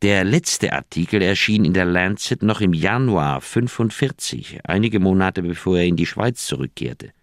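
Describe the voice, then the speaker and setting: An actor playing an earnest, insistent middle-aged doctor in a radio crime drama, speaking in an emphatic, persuasive way. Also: male voice, low voice, animated, moderate pace, few pauses, no echo. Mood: insistent, persuading.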